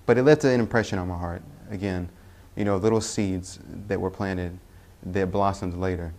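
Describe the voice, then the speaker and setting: Deep-voiced young guy, halting, lots of uh's and restarts, telling his own story. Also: steady tone, a little mellow. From Tennessee, US Southern. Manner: halting, self-correcting with many "uh" pauses. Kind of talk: personal testimony.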